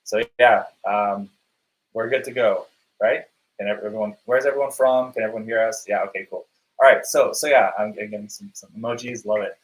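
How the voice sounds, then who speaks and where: Man, fairly low voice, webinar host, upbeat, loose, lots of fillers and restarts. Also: steady tone, brisk, poor audio. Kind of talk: casual live webinar interview.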